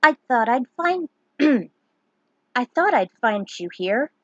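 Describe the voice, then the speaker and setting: A woman's voice: fairly high pitch, big pitch swings, slow pace, no room sound, muffled recording, pitched above the performer's own voice, heavily acted. Caring young female friend for an adventure story reading, earnest, voiced by a woman narrator. Dry recording.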